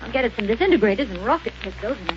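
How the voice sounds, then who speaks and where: An actress playing a plucky young woman in a 1930s sci-fi radio drama, speaking in a brisk, eager way. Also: on the high side, lively intonation, natural voice. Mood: tense. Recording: dull old radio recording with hiss.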